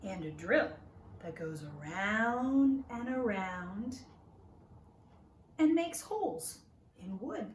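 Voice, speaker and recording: A woman reading a children's story aloud like a teacher, with deliberate, simple phrasing for small children. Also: medium pitch, a little mellow. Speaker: teacher reading a picture book to young children. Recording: roomy echo, distant mic, faint noise.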